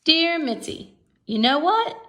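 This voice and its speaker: A woman's voice: high voice, takes it slowly, slight background noise, pitched above the performer's own voice, full character voice. A woman voicing a happy, eager, affectionate pet dog in a children's picture book read-aloud. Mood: excited, happy. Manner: excited, affectionate.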